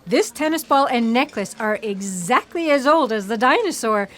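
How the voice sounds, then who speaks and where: An actress playing an earnest, excitable and quirky paleontologist in comic audio theatre, eager and emphatic. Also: female voice, fairly high pitch, moderate pace. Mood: emphatic, puzzled.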